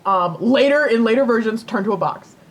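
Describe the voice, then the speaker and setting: A woman's voice: fairly high pitch, lively intonation, a little fast, rough recording. A woman co-hosting a podcast, casually retelling a myth in informal language with swearing and plenty of "like". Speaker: podcast co-host.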